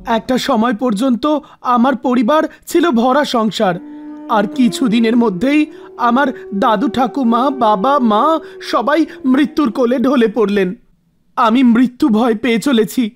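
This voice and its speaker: A man's voice: very high-pitched, unhurried. A man voicing an anxious, grieving family man seeking help in a cartoon. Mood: worried. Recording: music under the voice.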